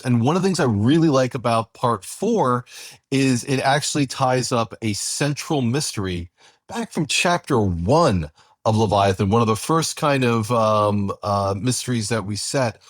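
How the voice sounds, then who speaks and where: A man co-hosting a conversational podcast introduction, speaking in halting phrases with repeated words. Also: lowish voice, no echo, studio quality. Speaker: co-host and writer introducing an audio drama episode.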